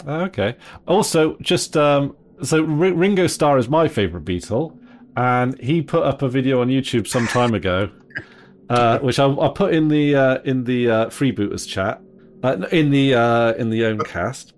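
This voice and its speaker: A man's voice: medium pitch, lively intonation, normal speed, a little hiss or hum. A man from England co-hosting a casual streamed chat, speaking hesitantly with many ums and uhs. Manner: hesitant, lots of fillers. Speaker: podcast co-host.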